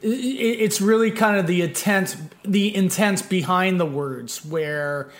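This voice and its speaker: Man, high voice, podcast host, meandering, casual. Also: measured pace. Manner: meandering, filler-heavy. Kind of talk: casual chat.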